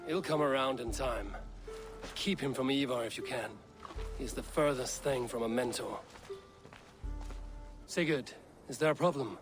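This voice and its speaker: A male actor as a steadfast, self-assured Viking warrior in a game drama. He speaks at a middling pitch, blunt and controlled. Light music plays behind the voice. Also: measured pace, long pauses, natural voice. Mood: firm. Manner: direct, firm, controlled. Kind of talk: dramatic video game acting.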